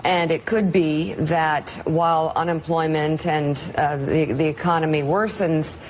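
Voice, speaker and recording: A woman speaking as a panelist on a TV news show, measured and serious. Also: deep voice, steady tone, a little hiss or hum. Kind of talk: TV news commentary.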